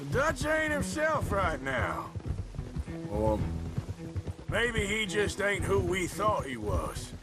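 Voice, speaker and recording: An actor playing a grim, world-weary American outlaw, matter-of-fact and resigned, in a western game drama. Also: male voice, sits high, wildly swooping pitch, slow, heavily acted. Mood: frank, uneasy. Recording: loud music over the dialogue. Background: music, horse hooves.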